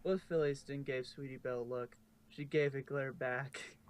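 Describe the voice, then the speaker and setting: A man's voice: medium pitch, steady tone, normal speed, a little hiss or hum. A man narrating fan fiction as an amateur story reader.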